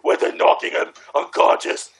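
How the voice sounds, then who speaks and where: A man reading amateur fan fiction aloud casually, stumbling over words and hamming up the quoted lines. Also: moderate pace.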